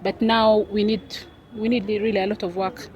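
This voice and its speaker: Young woman, Arabic-English, measured and reflective interviewee. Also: medium pitch, flat delivery, a little mellow. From South Sudan. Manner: thoughtful, unhurried, with pauses and fillers. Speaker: street interviewee. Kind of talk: casual interview answers.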